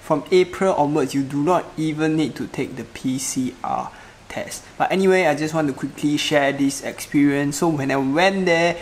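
A man's voice: fairly high pitch, a little hiss or hum. A young man chatting casually and informally as a travel vlogger, recorded in a room at home. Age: young adult. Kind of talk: casual travel vlog talk. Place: small living room at home, seated indoors. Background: music.

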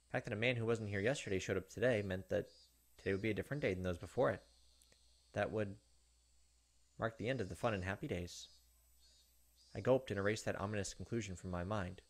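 A man, perhaps in his thirties, reading visual novel narration aloud and commenting, with dramatic, halting delivery. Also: lowish voice. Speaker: gaming video host. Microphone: desk microphone close up. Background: game music.

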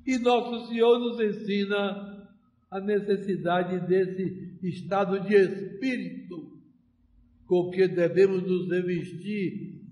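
Very slow, high-pitched Brazilian male preacher. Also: fairly even intonation, mellow. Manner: solemn, deliberate, with long pauses between short phrases. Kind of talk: homily. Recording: roomy, echoing church-like sound.

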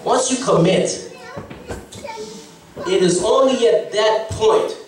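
A man's voice: high-pitched, slow pace, noisy background. A man in his thirties from Haiti with a Haitian accent, preaching an exhorting sermon as guest preacher. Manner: exhorting, preaching to the congregation with rising emphasis. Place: church sanctuary, mid-size, indoors. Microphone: handheld mic, far camera. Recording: echoey church heard from far off. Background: congregation responding.

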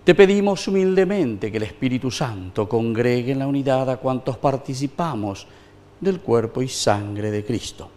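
A middle-aged man from Paraguay, a priest reciting the prayers of Mass in a measured, solemn way in a small wood-paneled chapel. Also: mid-range voice, lively intonation, darkish tone.

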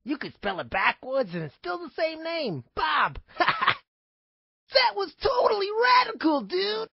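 A man's voice: extremely high pitch, very animated, measured pace, vocal fry, no echo, dull audio, full character voice. A man acting a laid-back, goofy young surfer dude for a cartoon-style demo. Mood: goofy, excited.

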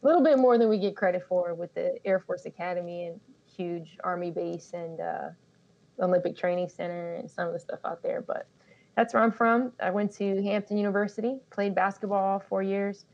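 Female guest, thirties, low-pitched and easygoing, slightly muffled recording. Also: normal speed. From Colorado, USA. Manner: relaxed, self-deprecating, laughs easily. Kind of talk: casual interview storytelling. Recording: slightly dull lo-fi audio.

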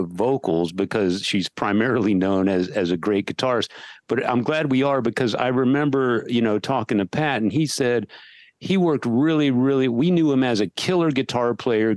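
A man hosts a podcast and asks his questions casually, often doubling his first words. His pitch sits in a middle range. The sound is dry and close, from a headset mic in a small home studio. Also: a little mellow, dry recording. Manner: repeats words when starting. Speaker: podcast host.

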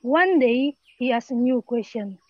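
A woman's voice: fairly high pitch, animated, unhurried, no room sound, lo-fi sound. A young woman reading scripted story narration as a storyteller over a video call. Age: young adult.

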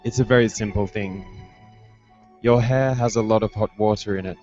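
Low-voiced young man reading over music. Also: fairly even intonation, moderate pace, a little mellow. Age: young adult. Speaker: writer or performer reading at a festival. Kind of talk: literary reading of experimental fiction. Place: indoor room, live online video stream. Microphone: handheld microphone held close. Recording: dull lo-fi webcast, prominent music behind. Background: music soundscape.

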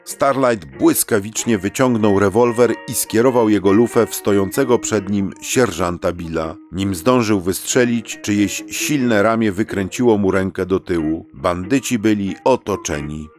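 A man's voice: on the low side, a little slow, hardly any pauses, darkish tone. A man reading a scripted adventure story as a storybook narrator. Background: faint guitar music.

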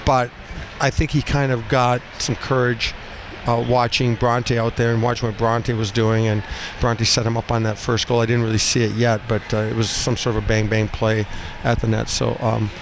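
A man in his fifties, a hockey coach speaking off the cuff in a postgame interview, blunt and halting, often repeating phrases. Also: medium pitch. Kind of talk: postgame interview, unscripted talk. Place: small cinder-block room indoors at a rink. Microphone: headset boom mic. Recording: noisy arena room, steady background din.

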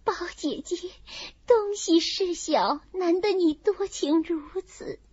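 A woman's voice: extremely high pitch, slow, darkish tone, dry recording, lo-fi sound. A woman voicing a frail, sensitive, melancholy teenage girl in a plaintive, confiding manner, in a dramatised classical novel audiobook. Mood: grateful.

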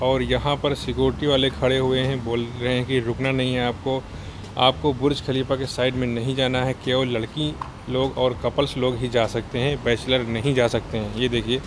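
A young man from India talks his viewers through a walk on busy city streets, explaining each step in a fairly flat, even tone. He holds his phone camera and speaks into it as he goes. Clear street and traffic noise runs behind him the whole time. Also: medium pitch, normal speed. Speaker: travel vlogger. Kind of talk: walking tour narration. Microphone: handheld phone camera.

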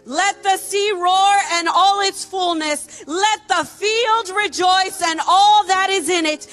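Female church reader, thirties. Very high pitch, slow emphatic delivery, proclaiming a psalm from the stage. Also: a bit bright. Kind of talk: reading an article and psalm aloud. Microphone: handheld microphone. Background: music.

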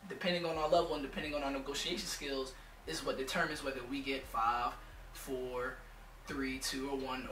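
Hoarse young man vlogging from afar. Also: on the high side, a bit bright, echoey room, far from the mic, poor audio. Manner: blunt, swears freely, lots of "you know what I'm saying". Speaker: music producer vlogger. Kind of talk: casual advice vlog. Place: home music studio room.

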